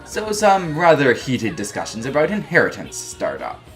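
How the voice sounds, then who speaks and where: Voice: mid-range voice, wildly swooping pitch, normal speed, soft background music. A reviewer narrating a scripted review in an informal, wry and emphatic way. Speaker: video game reviewer.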